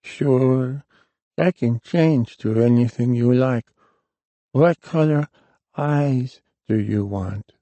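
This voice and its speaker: A man's voice: mid-range voice, takes it slowly, warm tone, no echo, voice pushed up, close to an everyday voice. A man voicing an ancient, frail male warlock who is dying, with a thin, strained old voice, in an audiobook reading. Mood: weak.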